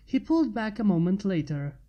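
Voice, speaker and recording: A woman's voice: medium pitch, sing-song, a little fast, muffled recording. A woman reading fan fiction aloud as a narrator.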